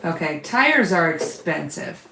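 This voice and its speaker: A woman with a worn-out voice hosts a quilting stream, chatting to viewers in an unhurried, low-pitched way as she works the machine. Her voice echoes off the walls of a sewing room, with a faint hum of noise behind it. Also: big pitch swings, gravelly, bright, forceful tone. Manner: chatty, reads out comments while working. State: lost her voice. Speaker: quilting livestream host. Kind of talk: casual chat with viewers while sewing.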